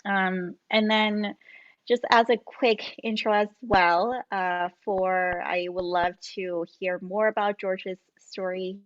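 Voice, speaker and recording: A woman's voice: medium pitch, steady tone, slow, no room sound. A woman moderating a panel discussion, earnest, with frequent fillers. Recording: dry, dull lo-fi sound.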